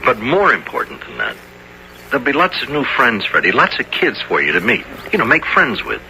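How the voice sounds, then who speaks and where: A man's voice: medium pitch, wildly swooping pitch, fairly quick, sharp tone, exaggerated voice, poor audio. A man playing a persuasive, patronising property developer, coaxing like an adult talking down to a child, in a radio drama. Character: persuasive, condescending middle-aged property developer. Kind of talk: 1970s American radio drama acting. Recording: old radio recording, faint noise.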